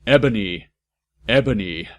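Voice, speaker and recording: A raspy, evil wizard villain , as a male reader puts him on for a comic fan fiction story. He is theatrical and menacing, stretching out his threats at a slow pace. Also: on the low side, expressive.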